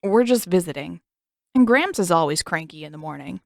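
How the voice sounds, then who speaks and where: A woman's voice: on the low side, animated, fairly quick, vocal fry, no echo, clean studio sound. A woman voicing a sarcastic, worried teenage brother who grumbles and complains. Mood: grumbling. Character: young teen boy, sarcastic worried brother.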